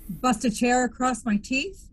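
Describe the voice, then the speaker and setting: A woman playing a brisk, teasing, combative military lawyer in an amateur sci-fi script reading. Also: sits high, breathy edge. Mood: sardonic. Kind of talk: amateur table read of TV script.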